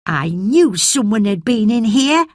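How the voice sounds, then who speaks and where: A woman's voice: on the high side, sing-song, measured pace, dry recording, clean studio sound, voice pushed up. A woman voicing a cheerful, encouraging boy in a storybook reading.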